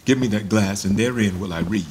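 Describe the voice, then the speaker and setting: A man's voice: on the low side, fairly quick, darkish tone, a little hiss or hum, close to an everyday voice. An actor playing a deposed English king in a Shakespearean radio play, declaiming verse in an anguished, mournful and bitterly proud way. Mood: despairing, mournful.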